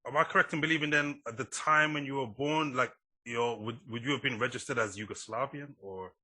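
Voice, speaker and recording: A man hosts a podcast interview in a casual, conversational way. His voice is sharply bright with a raspy edge. The sound is dry and close. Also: medium pitch, brisk, no room sound. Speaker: podcast host.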